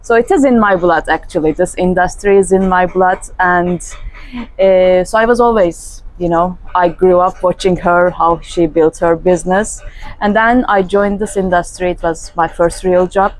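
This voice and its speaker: A woman from Turkey, a company general manager, answering interview questions in a calm, steady way. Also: lowish voice, normal speed, dry recording, low-quality recording.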